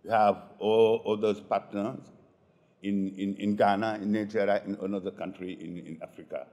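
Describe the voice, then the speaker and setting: Man, low voice, halting talk with many repeated words, long hall reverb. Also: fairly even intonation, warm tone. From Senegal. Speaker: conference speaker. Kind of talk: conference presentation. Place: large conference hall.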